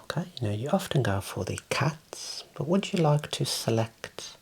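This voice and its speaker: A man in his thirties whispering gently and soothingly in an ASMR roleplay as an optician, close to the mic in a small room. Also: medium pitch, sing-song, a little slow, gravelly, slightly rough. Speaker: ASMR performer playing an optician.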